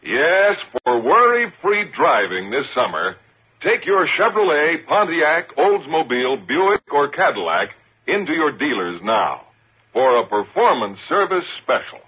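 Male commercial announcer, animated intonation, medium pitch, 1950s radio style, narrow tinny recording. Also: a little slow, slightly rough. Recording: phone-like vintage radio recording.

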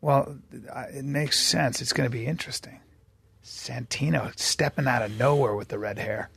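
A man co-hosting a podcast, dropping quiet, mumbled joking asides in casual chat. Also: medium pitch, moderate pace, vocal fry, slightly rough, no echo. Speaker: podcast co-host.

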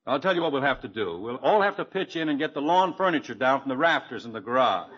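A man's voice: medium pitch, sing-song, quick pace, fairly bright tone, husky. An American actor playing a flustered, bossy middle-aged family father in a 1950s radio sitcom. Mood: brisk, decisive. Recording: dull vintage radio broadcast.

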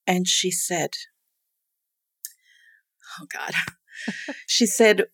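A middle-aged woman telling a personal story in a casual chat, animated and quick to laugh, with a loud voice. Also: lowish voice, slightly rough. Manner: calls herself loud-voiced.

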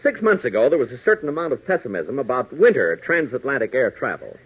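A man's voice: medium pitch, big pitch swings, fast, husky. A man narrating and announcing a 1940s radio serial with dramatic, theatrical delivery. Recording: noisy, dull vintage 1940s radio recording.

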